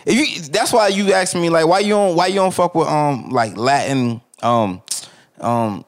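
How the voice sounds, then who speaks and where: A man's voice: a little high, measured pace. A young man co-hosting a podcast in loose, profane casual chat, returning again and again to "you feel me". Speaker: podcast co-host.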